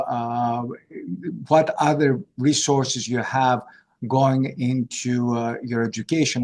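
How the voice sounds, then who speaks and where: Mid-pitched man answering questions deliberately. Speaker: scholarship panelist. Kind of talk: explanatory Q&A answers. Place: virtual meeting on a video call.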